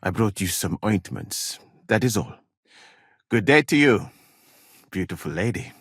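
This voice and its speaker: A learned, gallant medieval healer from Mali for a video game. His voice is low and husky, with lively swings in pitch. He chooses his words formally and courteously, with a gentle manner. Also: no room sound, clean studio sound, full character voice. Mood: gentle, admiring. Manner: courteous, measured, formal, careful wording. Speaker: video game character, physician. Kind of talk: acted video game dialogue, formal phrasing.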